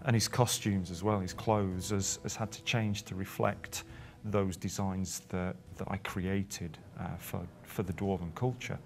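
A middle-aged man explaining his work as an interview guest, in a halting, word-repeating manner. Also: on the low side, gravelly. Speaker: guest, illustrator.

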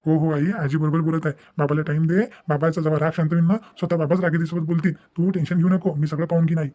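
A man voicing a calm, understanding young adult son in a cartoon family melodrama, reasoning gently. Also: on the high side, fast talker, close to an everyday voice. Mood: reassuring. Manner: reassuring, reasoning.

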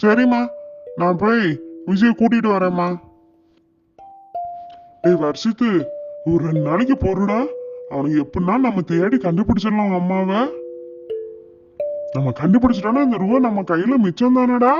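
A man's voice: sits high, moderate pace, pauses often, lightly acted. A man voicing an easygoing, dismissive husband and father who puts things off in a cartoon. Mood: placating. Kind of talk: cartoon voice acting. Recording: dull lo-fi, music behind.

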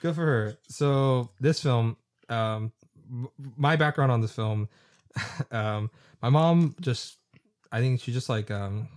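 A man's voice: medium pitch, slow, a little mellow. A man hosting a casual film podcast, rambling with lots of fillers and casual swearing. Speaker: podcast host.

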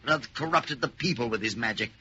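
An actor playing an indignant, grandiose medieval villain in an old-time radio drama, declaiming in archaic speech. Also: male voice, mid-range voice, fast talker, bright, forceful tone, breathy edge. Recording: dull vintage radio broadcast recording.

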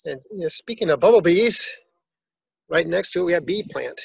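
A man giving a casual tour of his backyard garden, explaining plants in a relaxed, informative way with some fillers. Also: high voice, moderate pace, vocal fry, slightly rough, dry recording, rough recording.

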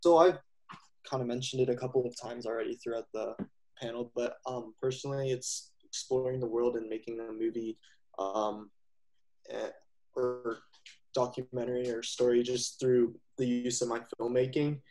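A young filmmaker, a man, answers casually on an online panel. He is hesitant, with a medium-pitched voice that stays fairly flat. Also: moderate pace, poor audio. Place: indoors on a video call.

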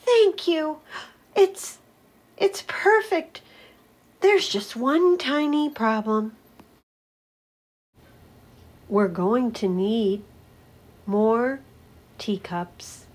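A woman voicing a strange, weary traveling animal in a storybook, gentle, polite and homesick, speaking hesitantly and earnestly. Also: fairly high pitch, extremely slow, long pauses, pitched above the performer's own voice. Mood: grateful. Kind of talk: storybook read-aloud character voice.

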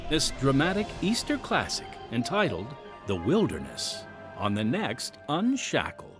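A man's voice: mid-range voice, wildly swooping pitch, measured pace, music in the background. A man hosting a ministry podcast, reading announcements with some ad-libbed asides. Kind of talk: scripted radio ministry announcements, partly ad-libbed.

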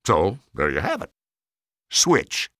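Storybook role: elderly toy maker, male narrator acting him, teasing, kindly, hugely animated delivery, dry sound. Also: low voice, slow pace, dry recording, full character voice. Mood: fond, reminiscing. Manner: chuckling, playful teasing.

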